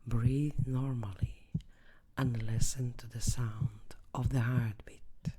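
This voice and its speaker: Very slow, hushed, breathy meditation guide. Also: mid-range voice. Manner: hushed, often near-whisper, short measured phrases. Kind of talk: guided meditation, soft and soothing.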